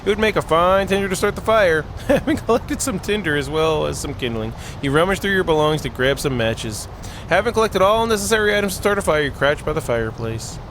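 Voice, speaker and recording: A man with a fairly high, very animated voice plays through a story game. He reads the narration aloud and drops in jokey asides, with faint noise in the background. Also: brisk. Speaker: gaming video host. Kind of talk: playful let's play commentary, reading narration aloud.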